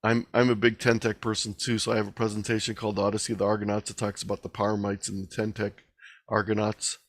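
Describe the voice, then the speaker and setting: A man's voice: on the low side, level tone, fast talker, no room sound. A man speaking as a guest presenter in an online meeting, explaining things conversationally and taking questions. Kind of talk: informal presentation and question-and-answer.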